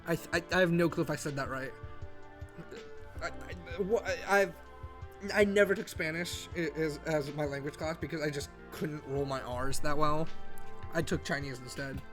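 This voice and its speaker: A young man playing a game and rambling in stammering, excitable commentary. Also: a little high, normal speed, music in the background. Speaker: gamer.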